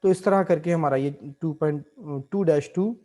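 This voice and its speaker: Man teaching with a mellow voice. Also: a little high, moderate pace. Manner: mixes English terms into explanations, steady teaching pace. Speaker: Excel instructor. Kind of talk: screen-recorded software tutorial.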